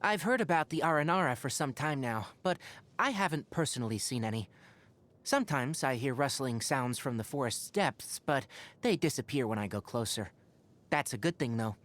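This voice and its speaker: An actor playing a young fox-eared forest ranger in a video game, scholarly and patient, speaking in an articulate, instructive and gently wry way. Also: male voice, medium pitch, animated, moderate pace, lightly acted. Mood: wry, measured. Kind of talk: scripted game character voice lines.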